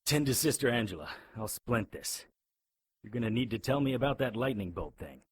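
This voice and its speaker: A man's voice: medium pitch, expressive, a little fast, slight background noise, close to an everyday voice. A man playing a blunt, skeptical private investigator in an audio drama, wry and urgent. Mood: wry, practical. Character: gruff, skeptical amnesiac private investigator hero.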